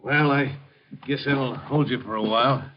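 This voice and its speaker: Male gangster heavy, acted, medium pitch, cocky sneer, slangy menace, muffled 1950s radio crime drama. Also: moderate pace, noisy background, natural voice. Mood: cold, threatening. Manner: sneering tough-guy slang, wisecracking threats. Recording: dull, band-limited 1950s radio recording.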